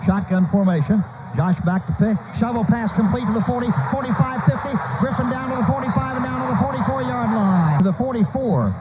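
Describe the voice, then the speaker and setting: High-pitched American football radio announcer, man. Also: lively intonation, brisk, hardly any pauses. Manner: clipped, urgent staccato phrasing. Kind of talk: live American football commentary. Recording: noisy, dull lo-fi radio broadcast.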